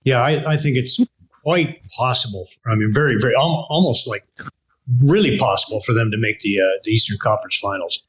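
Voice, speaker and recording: A Canadian man hosting a casual sports chat, agreeable and halting, often repeating himself. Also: medium pitch, fairly bright tone, slightly rough. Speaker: interview host.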